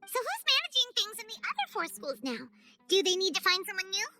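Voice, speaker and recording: A tiny fairy sidekick from a video game with an extremely high, squeaky child's voice. The lines bounce up and down with eager curiosity, and music plays clearly behind them. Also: female voice, huge pitch swings, a little fast, bright, forceful tone, heavily acted. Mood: curious, chatty.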